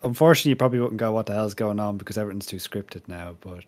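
A man's voice: fairly low pitch, rapid-fire. A man hosting a casual panel podcast with wry, jokey banter. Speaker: podcast host.

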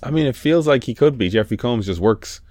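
A man's voice: medium pitch, expressive, fairly quick, a little mellow, no echo, studio quality. A man co-hosting a podcast in an easygoing, teasing way, with lots of fillers as he talks. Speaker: podcast co-host. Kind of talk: casual chat.

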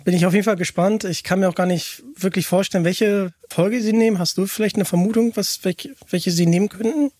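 A man hosting a podcast, chatting casually and enthusiastically, often halting and repeating words as he asks questions. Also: sits high, a little mellow, no room sound, studio quality. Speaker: podcast host. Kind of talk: casual chat, interviewing.

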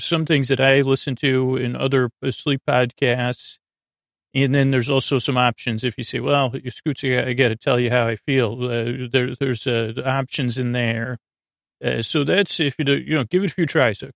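A man with a creaky voice hosting a sleep podcast in a meandering, halting, lulling monologue full of digressions. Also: medium pitch, a bit bright, dry recording, studio quality. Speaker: podcast host.

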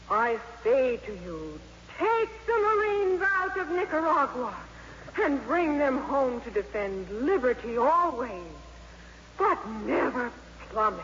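A woman's voice: sits high, fairly bright tone, full character voice. An actress playing an earnest, impassioned teenage schoolgirl debater in 1950s radio drama, with an emphatic delivery. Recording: dull, noisy old radio broadcast, hall reverb.

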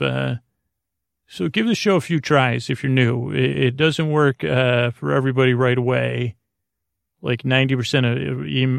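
A man hosting a bedtime podcast in a creaky voice, meandering and halting, often stammering and repeating himself in a soothing, friendly way. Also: medium pitch, no room sound, studio quality. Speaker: podcast host. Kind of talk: rambling bedtime monologue.

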